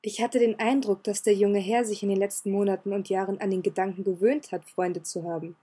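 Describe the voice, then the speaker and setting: A woman's voice: medium pitch, little pitch movement, fairly quick, mellow, lightly acted. Woman reading a courteous, amused demon butler. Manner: smooth, courteous, faintly amused. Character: suave, polite demon butler, adult male.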